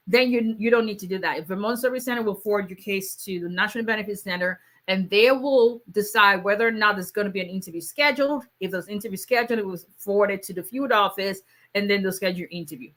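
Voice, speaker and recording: Bright-voiced woman attorney. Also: mid-range voice, fairly quick. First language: Yoruba. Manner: conversational, many fillers, reads out viewer questions. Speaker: immigration attorney. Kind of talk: live Q&A stream.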